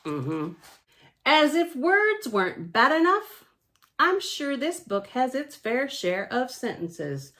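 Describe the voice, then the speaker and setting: A woman reading a picture book aloud to children, theatrically emphatic and playfully grumpy, with chatty deadpan asides. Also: a little high. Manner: theatrical, emphatic, with pauses and repeated words for effect. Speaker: library storytime reader.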